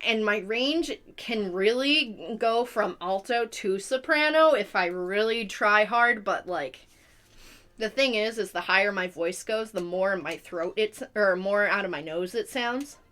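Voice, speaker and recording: A woman's voice: medium pitch, a little slow, a bit bright. A young adult woman chatting casually as a live streamer, explaining things in a rambling way. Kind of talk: casual chat.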